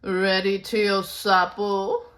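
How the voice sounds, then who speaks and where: A woman voicing a proud, boastful male deer in a storybook, speaking in a slow, self-satisfied drawl. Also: mid-range voice, little pitch movement, a bit bright.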